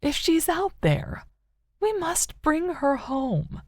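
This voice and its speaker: A woman reader performs an older mother in an audiobook novel, haunted by loss but clinging to hope. Her voice is lifted high and soft, with some creak in it. She speaks tenderly and sorrowfully, in a close, dry recording. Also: sing-song, takes it slowly, no echo, full character voice. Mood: desperate hope. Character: grieving older mother, wistful, haunted, still hopeful.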